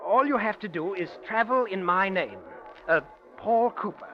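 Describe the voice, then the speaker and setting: A man's voice: sits high, expressive, normal speed. An actor playing a scheming man who turns coldly menacing, in 1940s radio acting. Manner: hesitant with fillers, then cold and quiet. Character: scheming murderous man, hesitant then menacing. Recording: dull old radio recording.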